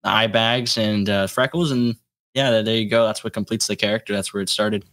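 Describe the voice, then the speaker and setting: A young adult man chatting casually as an interview guest, with a halting, rambling delivery. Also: lowish voice, fairly even intonation, a bit bright. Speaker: guest, solo electronic musician.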